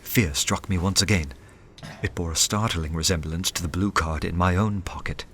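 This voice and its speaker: An actor playing an undercover detective who narrates his own adventure in a literary, measured radio drama style. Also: low-pitched, a bit bright, a little hiss or hum. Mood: tense.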